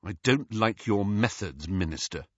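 A man plays a hot-tempered teenage boy in a storybook, challenging and sarcastic. The voice is husky and leaps around in pitch. The sound is a little muffled. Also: lively intonation, a little slow, dry recording. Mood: cold defiance. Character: defiant, hot-tempered seventeen-year-old boy.

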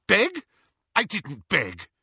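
Man doing a shrill, spiteful girl. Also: huge pitch swings, takes it slowly, gravelly, pushed and bright, slightly rough, no room sound, dull audio, exaggerated voice. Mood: indignant. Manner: sneering, shrill, indignant.

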